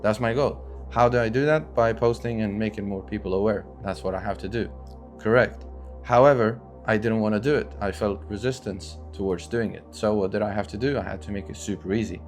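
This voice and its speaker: Earnest young man over soft guitar. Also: on the low side, brisk. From Syria, raised in Sweden. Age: 20s. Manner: earnest and loose, many fillers and restarts. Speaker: online entrepreneur. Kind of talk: talking-head motivational vlog.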